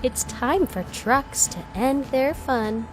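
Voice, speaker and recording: A woman reading a children's bedtime story aloud, expressively, with gentle, drawn-out phrasing and acted emphasis. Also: high-pitched, over music. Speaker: storybook reader.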